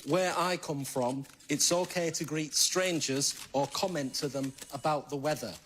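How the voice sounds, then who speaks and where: An actor playing a friendly northern man, giving a flat, polite, apologetic statement, heard on a noisy clip. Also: on the high side, measured pace. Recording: noisy clip played in a room.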